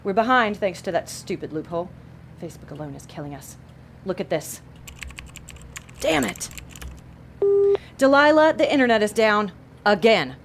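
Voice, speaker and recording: A woman's voice: lowish voice, noisy background. A woman playing a sardonic, bossy female devil who runs an office in a comedy audio drama. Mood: businesslike, irritated, then exasperated, commanding. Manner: bossy, sarcastic, exasperated.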